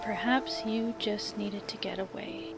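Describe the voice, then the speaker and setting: A woman narrating a scripted guided fantasy meditation in a measured, soothing way, with long pauses. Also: medium pitch, steady tone, gravelly. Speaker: podcast host and meditation narrator. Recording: loud music bed under the voice. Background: loud background music.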